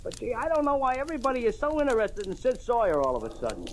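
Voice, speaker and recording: Swoopy, slangy young American punk. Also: male voice, extremely high pitch, big pitch swings, quick pace, exaggerated voice. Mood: nervous. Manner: slangy, insolent, whiny when pressed. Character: surly, gum-chewing young male bowling alley lackey, easily cowed. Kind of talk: 1940s radio drama acting. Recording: old radio recording with background noise.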